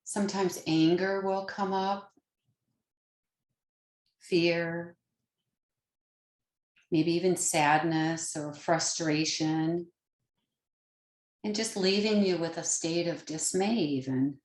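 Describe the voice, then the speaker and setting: A woman with a fairly low voice guides a meditation in a roomy, echoing space. She speaks very slowly and gently, leaving pauses between short phrases. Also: fairly even intonation. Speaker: yoga nidra meditation teacher.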